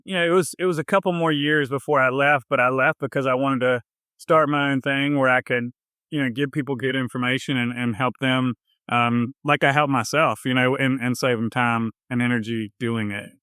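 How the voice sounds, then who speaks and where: A man with a Southern US accent telling his personal story in a casual interview, informal and a little halting in his phrasing. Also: mid-range voice, fairly even intonation, bright, forceful tone, clean tone, dry recording, studio quality. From southern United States. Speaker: health coach, podcast guest.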